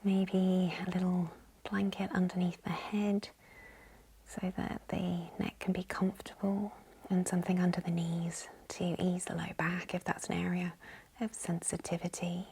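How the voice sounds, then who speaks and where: Woman, yoga nidra guide, soft whispery voice, creaky edges, mellow tone, calm guided instruction. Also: lowish voice, slight background noise, rough recording. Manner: calm, gentle, unhurried. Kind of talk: guided meditation, slow hushed instruction.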